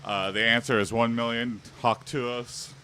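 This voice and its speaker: Streamer man, mid pitch, bright and forceful tone; rambling casual chat at home, mic set back, faint hiss. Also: little pitch movement, measured pace. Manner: chatty, rambling, answers viewers. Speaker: livestream host. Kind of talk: casual livestream chat while exercising. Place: home room with an exercise bike. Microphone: standing mic a little way off.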